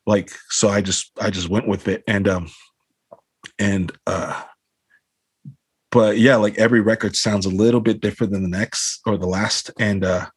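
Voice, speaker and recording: A man's voice: lowish voice, steady tone, moderate pace, husky, no room sound. A man, a band frontman, talking casually in an interview over a video call, rambling with lots of fillers and swearing. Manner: rambling, swears a lot, many fillers like "you know what I mean".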